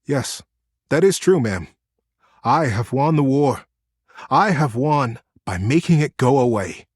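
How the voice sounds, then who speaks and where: A man's voice: medium pitch, animated, slow, breathy edge, no echo, clean studio sound. A man voicing a humble young male beaver in a storybook tale, speaking in an earnest, respectful, solemn way. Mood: earnest, hopeful.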